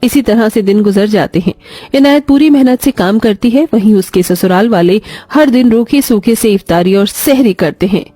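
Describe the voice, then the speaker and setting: A woman's voice: medium pitch, normal speed, mellow, no echo. A woman narrating a scripted moral story as a storyteller. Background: faint music.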